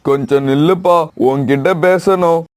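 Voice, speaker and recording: A man's voice: on the high side, normal speed, close to an everyday voice. A man voicing a kindly old pigeon who owns an orchard, in a children's cartoon story. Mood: weary, requesting. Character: old male pigeon orchard owner, kindly, asking for help.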